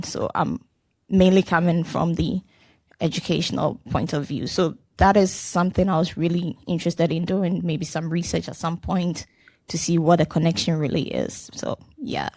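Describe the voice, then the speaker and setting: Lowish voiced woman from ghana, former teacher, calm intro, dry close sound. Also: normal speed, no echo. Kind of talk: self-introduction at a welcome session.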